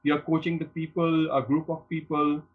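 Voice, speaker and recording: A man's voice: on the high side, level tone, moderate pace, husky, phone-quality sound. A man hosting a studio interview, talking in an upbeat promotional way and often repeating and restarting his phrases. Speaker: host.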